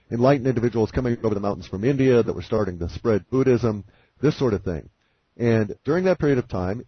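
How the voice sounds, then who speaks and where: A man with a low, husky voice speaks as a guest on a conference call. He delivers a reflective monologue in formal, wordy phrases, halting and deliberate. The sound is dull and slightly muffled. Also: dry recording. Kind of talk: long reflective spoken monologue on spiritual ideas.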